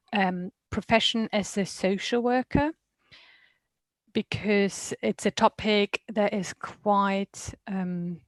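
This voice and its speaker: A woman from northern Germany introducing herself on a panel in a measured way. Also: medium pitch, dry recording. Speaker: German teacher, former social worker.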